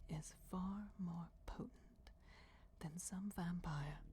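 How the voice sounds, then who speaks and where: A woman voicing an ancient, ruthless vampire goddess in a breathy, solemn whisper, in a fantasy ASMR roleplay. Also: fairly low pitch, mellow, slight background noise. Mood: cold, solemn. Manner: slow, deliberate, measured with dramatic pauses. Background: crypt ambience.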